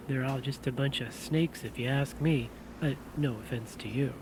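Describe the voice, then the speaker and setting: A man's voice: mid-range voice, unhurried, noisy background, lightly acted. A man playing a puzzled, wary husband and prospective client in an audio drama, speaking hesitantly and questioningly.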